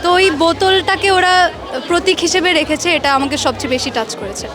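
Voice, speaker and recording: A woman's voice: very high voice, expressive, fast, a crowd in the background. A young woman with a Bangladeshi accent, a student visitor speaking in a vox pop interview. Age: young adult. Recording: noisy crowded public space.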